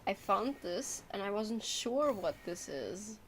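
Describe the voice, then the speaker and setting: A woman's voice: medium pitch, very animated, takes it slowly, slight background noise, poor audio. A woman streaming a video game, rambling casually and muttering to herself as she plays. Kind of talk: casual gameplay commentary. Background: game music.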